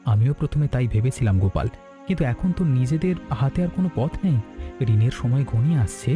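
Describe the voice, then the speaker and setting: A man's voice: mid-range voice, expressive, fairly quick, over music, close to an everyday voice. A man playing an earnest, polite village hotel owner in a cartoon moral story.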